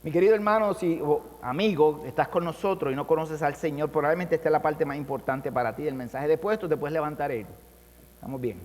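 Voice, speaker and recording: A man's voice: a little high, brisk. A pastor preaching a sermon with impassioned emphasis, his voice rising and falling to drive points home. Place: church hall. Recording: long church reverb.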